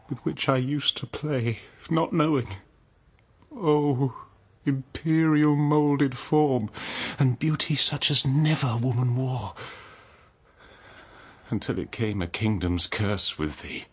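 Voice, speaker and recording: Slow, deep, anguished king. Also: breathy edge, heavily acted. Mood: anguished longing. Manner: solemn, declamatory, archaic diction with long pauses. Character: betrayed noble old-world king, anguished, forgiving husband. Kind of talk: dramatic verse monologue, classical radio-drama acting.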